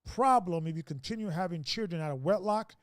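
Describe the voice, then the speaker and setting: Man in his fifties, preachy, rambling, high-pitched, dry close sound. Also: lively intonation, a little fast, no room sound. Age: 50s. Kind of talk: casual group discussion.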